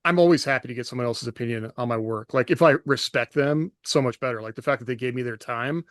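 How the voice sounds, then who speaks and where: A man co-hosting a podcast as an author, reasoning things through in a measured, casual way. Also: medium pitch, fairly bright tone, dry recording, clean studio sound. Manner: thoughtful, measured, many "you know" fillers.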